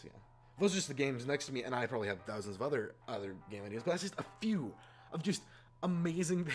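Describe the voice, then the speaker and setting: A man hosting a casual opinion rant, exasperated and informal, repeating words for emphasis. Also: medium pitch. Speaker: YouTube host. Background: background music.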